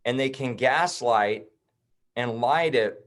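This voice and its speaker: A man's voice: medium pitch, slow. A man in his thirties, a chiropractor guesting on a podcast, talking bluntly and heatedly in casual chat. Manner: blunt, heated, swears and says bro.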